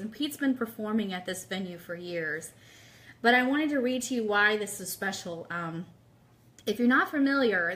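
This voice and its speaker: A woman's voice: a little high, a bit bright. A woman hosting a radio show livestream, chatting casually and enthusiastically with her audience and reading aloud. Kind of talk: casual livestream chat with book read aloud.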